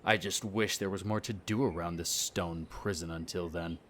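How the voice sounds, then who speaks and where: A man's voice: low-pitched, fairly even intonation, moderate pace, a bit bright, a little hiss or hum. A man playing a smug, disdainful vampire noble in a scripted roleplay audio drama, speaking formally with sardonic condescension. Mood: resentful.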